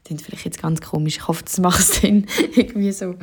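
A woman's voice: lowish voice, fairly even intonation, brisk, breathy edge. A young woman with a Swiss German accent, a singer-songwriter casually answering interview questions with many fillers.

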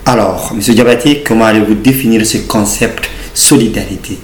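Male radio journalist, formal and slightly halting, recorded in a reverberant room. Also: fairly low pitch. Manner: formal, hesitant questions with fillers. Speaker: interviewer, journalist. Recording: echoey room with faint ambient noise.

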